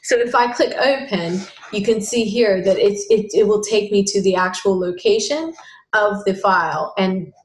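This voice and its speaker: A woman walks through a software tutorial while sharing her screen, her voice sitting at an ordinary middle pitch. She talks in a halting way, stopping and restarting with plenty of fillers. The recording has a roomy echo to it. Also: a little hiss or hum. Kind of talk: casual screen-share tutorial.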